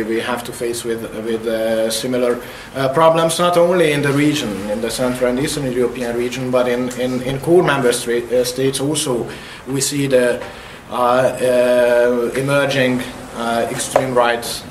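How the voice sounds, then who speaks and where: A Hungarian man speaking English with a Hungarian accent, giving opening remarks at a workshop, pausing often on fillers. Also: medium pitch, reverberant, a little hiss or hum, rough recording. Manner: hesitant, many filler pauses.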